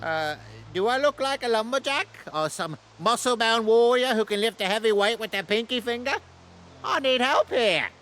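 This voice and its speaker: An actress playing a self-pitying, wheedling merchant in a video game, complaining with theatrical exasperation. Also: female voice, a little high, lively intonation, moderate pace, a bit bright, a little hiss or hum. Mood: indignant, pleading. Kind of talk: video game character acting.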